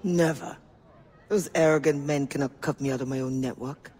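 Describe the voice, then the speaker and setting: A man's voice: medium pitch, steady tone, normal speed, darkish tone, slightly rough, lightly acted. A man playing a proud, agitated spymaster who fights for the freedom of slaves in a dramatic video game. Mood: defiant, determined.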